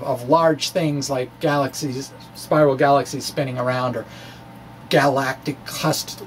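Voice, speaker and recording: A man giving a dramatic, halting reading of his physics blog, with self-deprecating off-script asides. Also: fairly high pitch, slight background noise. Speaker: physics blogger presenting his own talk.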